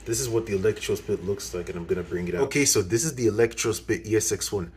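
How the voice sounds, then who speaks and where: Low-voiced man over clear background noise. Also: quick pace, darkish tone. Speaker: video host demonstrating gear. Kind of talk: casual product demonstration. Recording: clearly noisy recording.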